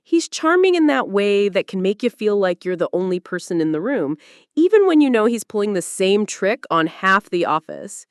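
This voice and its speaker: A female narrator reads a personal story dramatically, with very animated intonation. Her delivery is conversational and confiding, as though sharing something privately. The recording is close and dry, with hardly any room sound. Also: mid-range voice, normal speed, clear, resonant tone, no echo.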